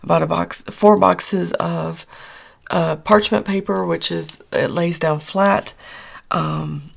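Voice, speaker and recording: A woman with a low, gravelly voice that is still hoarse from losing it. She chats casually and rambles through her budget numbers, filling pauses with ums and uhs. She sounds middle-aged and relaxed. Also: slight background noise. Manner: chatty, rambling with many fillers. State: hoarse, recovering from lost voice. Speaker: budgeting channel host.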